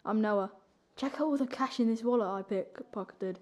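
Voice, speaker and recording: A woman's voice: fairly high pitch, moderate pace, a little mellow, lightly acted. A female-sounding performer playing a cocky young orphan boy pickpocket in a wartime drama, brash in manner. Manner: brash, boastful.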